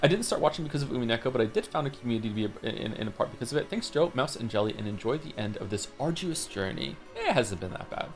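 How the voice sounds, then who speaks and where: A man streamer from Wales reading out chat messages in casual talk, with quick wry asides. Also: medium pitch, very animated, soft background music.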